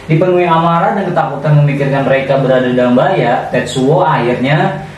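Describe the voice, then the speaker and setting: A man's voice: medium pitch, fairly quick. Male podcast co-host, Jakarta Indonesian accent, slangy buddy talk, distant and roomy sound. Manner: slangy, chummy interjections. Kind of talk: casual podcast chat. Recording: very echoey room, distant mic, faint noise.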